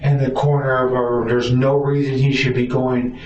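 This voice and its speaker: A frightened, distressed man being interrogated as a suspect, giving a halting, rambling account and stammering over repeated words. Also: medium pitch, flat delivery, darkish tone. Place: indoor police interview room. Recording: roomy, distant, muffled, noisy interrogation recording.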